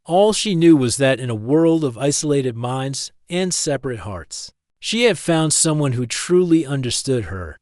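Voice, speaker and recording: A man's voice: mid-range voice, expressive, measured pace, few pauses, a little mellow, no room sound, clean studio sound. A man reading a scripted story as an audiobook-style narrator.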